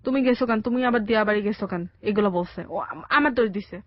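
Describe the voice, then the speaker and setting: A young woman with a Bangladeshi accent giving a halting, colloquial personal account as a radio interview guest. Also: mid-range voice, no room sound, highs cut off. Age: young adult. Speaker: interview guest telling her life story. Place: radio studio.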